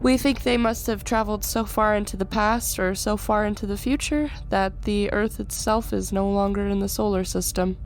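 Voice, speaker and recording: A woman's voice: medium pitch, steady tone, moderate pace, hardly any pauses, a little hiss or hum, natural voice. A woman playing a grave, caring space station scientist in an audio drama. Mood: grave, sorrowful.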